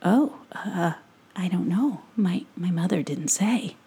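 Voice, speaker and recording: A woman's voice: fairly low pitch, animated, takes it slowly, mellow, heavily acted. A woman doing a shy stammering boy. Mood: nervous. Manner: hesitant, stammering, breathy. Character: shy, flustered young boy.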